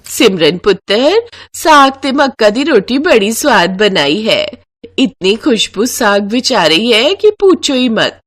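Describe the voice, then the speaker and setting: A woman's voice: on the high side, big pitch swings, slow, fairly bright tone, full character voice. A woman voicing an affectionate older Punjabi family elder with a Punjabi accent and a doting, approving manner. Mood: fond.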